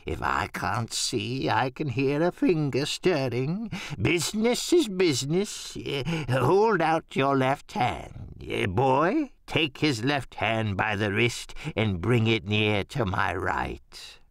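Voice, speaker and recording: Slow, sinister old blind beggar. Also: male voice, medium pitch, expressive, creaky, no echo, clean studio sound. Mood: cold, commanding. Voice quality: soft-spoken, cold, ugly voice. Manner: slow, drawn-out odd sing-song. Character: sinister blind old beggar, cruel pirate, falsely wheedling. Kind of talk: audiobook radio play character acting.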